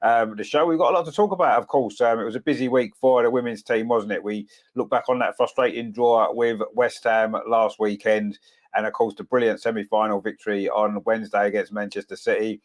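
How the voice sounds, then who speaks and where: A man with a cold, sounding croaky as he hosts a casual football chat show, full of "of course" and tag questions. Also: on the low side, fairly even intonation, brisk. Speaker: podcast host.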